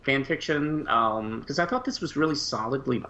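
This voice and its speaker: A man's voice: medium pitch, normal speed, bright, forceful tone, muffled recording. A man in casual conversation as a podcast co-host, hesitant and thinking aloud as he goes. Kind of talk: casual chat.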